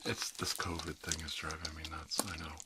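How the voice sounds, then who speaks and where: A man playing a gentle, reassuring dentist in a soft-spoken ASMR roleplay, murmuring hesitantly and often slipping into a whisper. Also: very deep voice, little pitch movement, normal speed, very noisy. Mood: apologetic. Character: gentle dentist treating a vampire patient.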